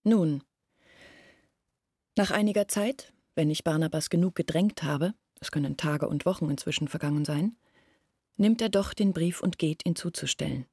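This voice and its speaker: An actress playing an earnest village woman confiding family secrets in a radio play, speaking deliberately and at times dropping to a near-whisper. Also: sits low, darkish tone, slightly rough, no echo, clean studio sound. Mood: explaining.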